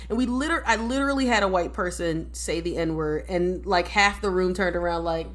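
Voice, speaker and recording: A woman hosting a casual discussion, an animated storyteller who laughs often and stammers through restarts. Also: mid-range voice. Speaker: podcast host.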